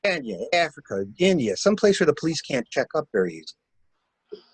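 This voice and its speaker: A man plays a shrewd, wry stranger in a murder mystery, pressing insistently for answers. His slightly high voice swings in a lively way, recorded close and dry. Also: fairly quick, slightly rough, no echo, low-quality recording. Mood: wry, calculating. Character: shrewd, wry male stranger coaching a new widow. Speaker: library staff reader. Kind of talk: dramatic reading of a murder mystery.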